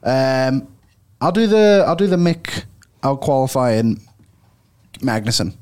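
A man, co-hosting a casual sports podcast, jokey and quick to laugh. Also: mid-range voice, clear, resonant tone. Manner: lively, laughs a lot, jokey. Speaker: podcast co-host. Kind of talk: casual sports betting chat.

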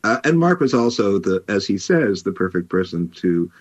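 A man with a fairly low voice in a dry, close recording. He talks like an editor presenting a book, choosing his words with care and pausing as he goes. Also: sing-song, no room sound, highs cut off. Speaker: publisher and editor hosting a book talk. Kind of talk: conversational book talk.

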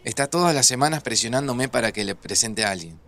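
An actor with an Argentine accent playing an emotional, teasing friend in a naturalistic audio drama, speaking colloquially. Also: male voice, medium pitch, lively intonation, fast talker, fairly bright tone. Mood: troubled, confiding. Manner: colloquial, conversational. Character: Santi, male friend reunited, emotional, teasing, guarded about family. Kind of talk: naturalistic audio fiction acting. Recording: music under the voice.

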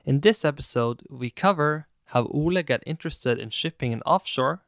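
A man's voice: a little high, lively intonation, normal speed, vocal fry, fairly bright tone, no echo, clean studio sound. A man hosting an interview podcast, presenting in a scripted, explanatory style. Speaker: podcast host.

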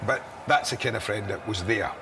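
A man's voice: on the low side, brisk, vocal fry. A man in his sixties, an interview guest, speaking candidly and earnestly, often repeating words as he gathers his thoughts. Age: 60s. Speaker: interview guest, former broadcaster. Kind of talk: candid storytelling. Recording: outdoor location, audible city noise.

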